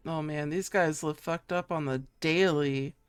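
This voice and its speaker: A woman's voice: low voice, measured pace, clean tone, no echo. A woman giving casual, slangy, joking commentary as she plays a video game. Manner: laid-back, slangy, joking. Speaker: gamer streaming a playthrough. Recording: game music behind.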